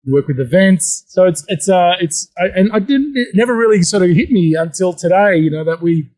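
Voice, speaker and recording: Man, podcast host, slightly high voice, stumbling repeated words, interview questions. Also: animated, darkish tone. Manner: halting, many restarts and repeated words.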